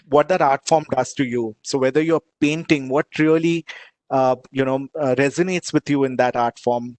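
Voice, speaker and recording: A man's voice: mid-range voice, a little mellow, no room sound. Indian man, poet guest, first language Gujarati, earnest and searching, dry close sound. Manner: earnest, restarts often, thinks aloud. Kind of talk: online panel interview, reflective answers.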